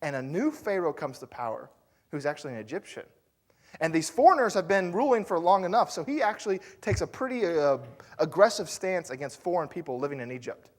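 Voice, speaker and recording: Guy preaching a sermon, kinda high voice, super conversational, lots of uh and okay. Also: lively intonation, brisk. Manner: conversational storytelling preacher with fillers and rhetorical asides. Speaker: pastor.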